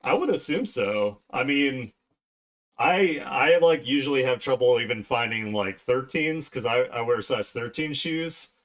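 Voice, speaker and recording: A man's voice: medium pitch, lively intonation, unhurried, a bit bright. A man talking casually to viewers on an art livestream, rambling along as he works. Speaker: art streamer hosting a livestream. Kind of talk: casual livestream chat while drawing.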